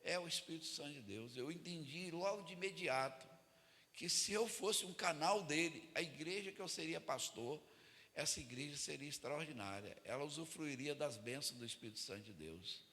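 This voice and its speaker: A man's voice: fairly high pitch, normal speed, sharp tone. A Brazilian man, an evangelical pastor, preaching informally to church leaders in a colloquial way, often seeking agreement from his listeners. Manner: colloquial, asks for amens and confirmation. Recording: echoey hall with long reverb.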